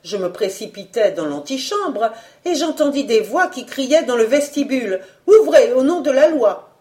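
A woman reader voicing a talkative, excitable, good-hearted middle-aged cook in an audiobook, breathless and exclamatory. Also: fairly high pitch, moderate pace. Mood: voluble, agitated.

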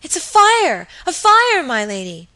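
A woman's voice: extremely high pitch, wildly swooping pitch, unhurried, pushed and bright, voice pushed up, full character voice. A woman voicing a hysterical young servant woman in an audiobook drama, sobbing, gasping and pleading in terror. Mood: panicked, crying out.

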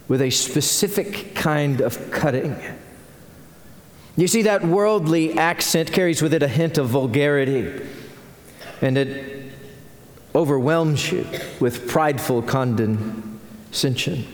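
A man with a Texan accent, a pastor preaching a sermon with growing earnestness. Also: a little high. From United States. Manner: earnest preaching, rising in intensity. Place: church sanctuary. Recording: echoey church hall.

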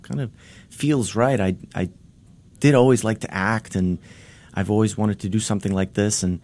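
Deep-voiced actor, earnest guest. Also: male voice, fairly even intonation, normal speed, a little mellow. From Boston. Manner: earnest, rambling, many restarts. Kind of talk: interview storytelling. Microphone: studio microphone.

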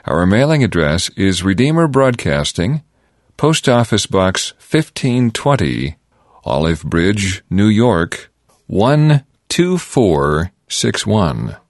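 A man reading a scripted fundraising announcement for a radio station in a measured, deliberate way. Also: low voice, sing-song, dry recording, clean studio sound.

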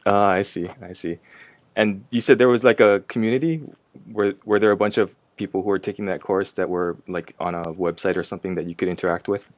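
A man's voice: low-pitched, steady tone, brisk, dry recording. A man hosting a casual interview, asking informal questions in a hesitant way. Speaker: interviewer, host.

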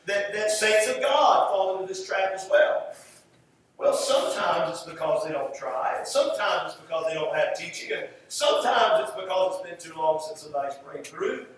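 A man with a Southern US accent preaching a sermon emphatically, repeating phrases and pausing for effect. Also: high-pitched, a bit bright. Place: large echoey room, preaching to a congregation. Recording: echoey room, distant mic, faint noise.

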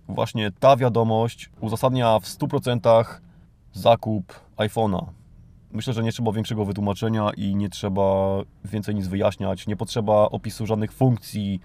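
A man's voice: on the low side, dark tone. A man from Poland hosting a podcast, delivering a satirical scripted monologue in an ironic, mock-grandiose, theatrical way. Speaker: podcast host. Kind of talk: satirical scripted monologue reading and mocking news items.

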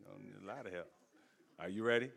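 Joking, bright-voiced pastor preaching. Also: male voice, fairly low pitch, fast talker, creaky, slightly rough. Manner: joking, folksy preaching with laughs and asides. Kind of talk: sermon. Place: church sanctuary.

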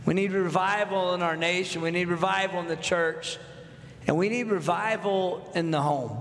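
A man's voice: high-pitched, moderate pace, a bit bright, a little hiss or hum. A pastor in his thirties from North Texas with a Texan accent, preaching a sermon earnestly, building with repeated phrases and asking the congregation questions. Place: church sanctuary. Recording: echoey hall with long reverb.

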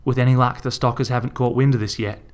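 A man voicing a bossy middle-aged woman shopkeeper with an Australian accent, shouting and swearing at her son. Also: medium pitch, fairly even intonation, fast, close to an everyday voice. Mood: furious.

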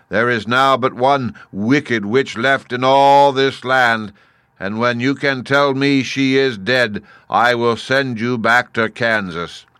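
A man voicing a great, stern wizard who is a giant talking head in a storybook, speaking slowly, emphatically and with command. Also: medium pitch, a bit bright, clean tone, no echo, pitched above the performer's own voice. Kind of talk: storybook character voice.

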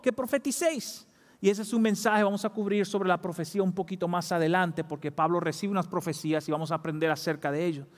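Fervent high-voiced preacher in a hall. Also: male voice. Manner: fervent preaching, rising to high emphatic peaks. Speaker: pastor. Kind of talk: sermon. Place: church.

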